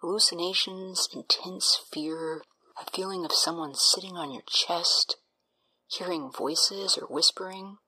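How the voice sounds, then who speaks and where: A woman playing a doctor in an audio drama, breathy, soothing and clinical, with a patronizing, unsettling edge. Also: lowish voice, steady tone, measured pace, bright, forceful tone, full character voice, rough recording. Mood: clinical, assured. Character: calm, smoothly reassuring doctor with an unsettling edge.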